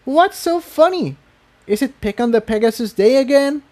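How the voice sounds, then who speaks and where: A man voicing an anxious young female pegasus pony in a thin falsetto, nervous and pleading, for a storybook reading. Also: lively intonation, normal speed, a little mellow. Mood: hurt anger.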